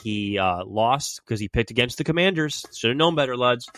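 A man's voice: medium pitch, animated, moderate pace, a bit bright, no room sound, studio quality. Man with a cold talking football. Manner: chatty, fan-style predictions. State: has a cold. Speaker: sports podcast host. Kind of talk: casual sports talk.

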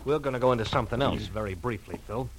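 An actor playing a shrewd, gruff male police lieutenant, businesslike and insistent, in an old radio drama. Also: mid-range voice, quick pace, slight background noise, lightly acted, poor audio. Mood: probing, warning. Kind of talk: 1940s radio drama acting.

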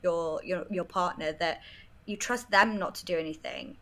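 A woman's voice: lowish voice, normal speed, a bit bright. A woman in her late twenties from North Wales, giving candid, articulate and emphatic interview answers in a reflective, conversational way. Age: late 20s. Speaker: interview guest, article writer.

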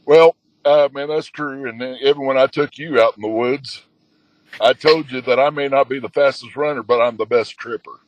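A man guest telling his story in a halting way with many fillers, a man with a booming voice. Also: fairly even intonation, rough recording. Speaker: guest, expedition leader. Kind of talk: casual storytelling.

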